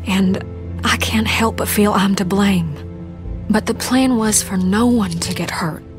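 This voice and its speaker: Woman playing a guilt-ridden, soft-spoken young survivor. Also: medium pitch, lightly acted. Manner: soft-spoken, confiding, folksy. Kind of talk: dramatic video game voice acting.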